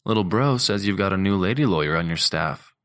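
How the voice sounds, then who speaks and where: A man's voice: low-pitched, lively intonation, fairly quick, no room sound, clean studio sound. A man doing a cocky, teasing older brother. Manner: mocking, cocky.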